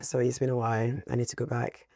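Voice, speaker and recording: A man's voice: medium pitch, monotone, fast talker, darkish tone, no room sound, clean studio sound. A young man with a French accent, a guest chatting casually about food, searching for words as he talks. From France. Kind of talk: casual chat.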